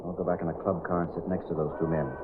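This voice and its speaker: Man acting a low-voiced, fast-talking card sharp. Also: level tone, lightly acted. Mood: curt, businesslike. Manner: curt, suspicious. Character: wary, domineering male railroad card sharp, feigning politeness. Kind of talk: 1930s-40s radio drama acting. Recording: tinny, noisy old radio recording.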